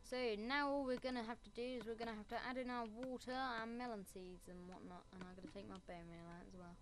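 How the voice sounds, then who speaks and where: A woman's voice: on the high side, steady tone, a little fast, noisy background. A woman giving a casual Minecraft building tutorial, rambling and making self-mocking asides. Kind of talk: casual gameplay tutorial commentary.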